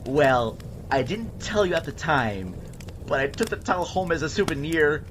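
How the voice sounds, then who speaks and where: A man playing an excited, lovestruck teenage girl who gushes in halting slang, in an amateur re-enactment of a film scene. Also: a little high, very noisy, natural voice. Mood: confiding. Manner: gushing, halting teen slang.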